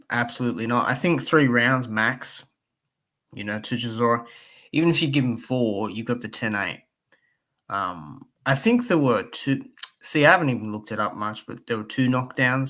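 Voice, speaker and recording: A man with a croaky voice, chatting casually on a live stream about boxing, with plenty of fillers and asides to his chat. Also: medium pitch, a bit bright. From Australia. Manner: rambling, many fillers, reads chat aloud.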